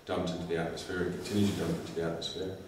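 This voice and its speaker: A man's voice: low voice, little pitch movement, racing, a little hiss or hum. A blunt, exhausted man speaking as a climate adaptation official, swearing freely in an interview. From United Kingdom. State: exhausted from lack of sleep. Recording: echoey room, voice from far off.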